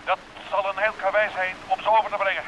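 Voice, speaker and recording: A man's voice: sits high, flat delivery, fast talker, pushed and bright, slight background noise, low-quality recording. An actor in a vintage radio play, playing a terse male diver searching a wreck. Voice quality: terse, short replies.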